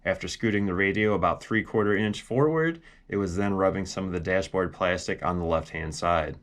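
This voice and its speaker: A man's voice: deep voice, fairly quick, a bit bright. A man casually walking through a do-it-yourself project in a plainspoken, explanatory way. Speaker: DIY car vlog host. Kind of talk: casual project walkthrough with voice-over.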